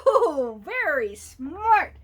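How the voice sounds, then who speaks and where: A woman's voice: extremely high pitch, big pitch swings, extremely slow, heavy background noise. A woman reading a picture book aloud to young children, playful and asking her listeners questions.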